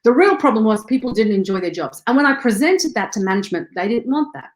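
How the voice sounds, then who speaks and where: A woman's voice: on the high side, lively intonation, fast talker. Upbeat young woman speaking trainer, echoey room. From Afghanistan. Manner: upbeat, punchy motivational delivery with rallying catchphrases. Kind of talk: energetic online training presentation.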